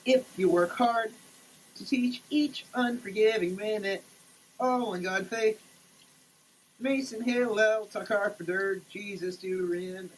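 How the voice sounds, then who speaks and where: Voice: medium pitch, slow, slight background noise, rough recording. A person giving a theatrical, declamatory dramatic reading of printed text. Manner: theatrical, declamatory, with muttered asides. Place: shower.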